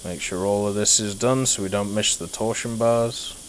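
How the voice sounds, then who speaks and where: A young British man whose accent has softened over years in Canada, chatting casually while streaming a game and answering chat. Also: fairly low pitch, steady tone, normal speed, slight background noise, rough recording. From Britain. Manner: laid-back, rambling, answers chat. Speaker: livestreamer. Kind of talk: casual gameplay commentary.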